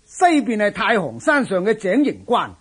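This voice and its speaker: A man's voice: high-pitched, huge pitch swings, normal speed, husky, full character voice. An actor playing a loyal, shrewd general who addresses his lord formally and earnestly in a historical radio drama. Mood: earnest counsel.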